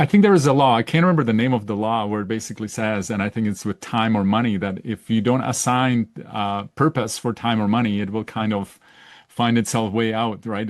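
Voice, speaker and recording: A man's voice: lowish voice, dry recording, clean studio sound. A middle-aged man from Czechoslovakia, hosting a podcast interview in a casual, searching way. He often restarts his sentences and checks in with "right?". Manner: searching, self-correcting, with frequent "right?" tags. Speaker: podcast host.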